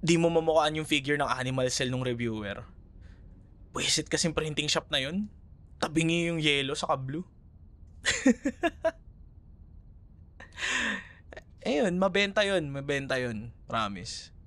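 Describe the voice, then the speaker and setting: Actor as a young Filipino ex-boyfriend, animated pitch. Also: male voice, takes it slowly, pauses often. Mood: sheepish, explaining. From Philippines. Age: young adult. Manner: hesitant, casual, giggly, conversational. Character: young Filipino man, teasing, caring ex-boyfriend. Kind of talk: ASMR roleplay, casual one-sided conversation.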